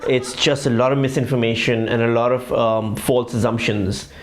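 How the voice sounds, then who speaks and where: A man's voice: medium pitch, fairly even intonation, normal speed. A man, a stand-up comedian telling his story in a conversational, wry way with dry punchlines. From Pakistan. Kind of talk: documentary interview and stand-up set.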